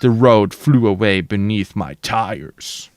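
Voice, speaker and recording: A man's voice: lowish voice, animated, takes it slowly, a little mellow, dry recording. A man reading a novel aloud for his stream audience, narrating dramatically and chatting casually and slangily between passages.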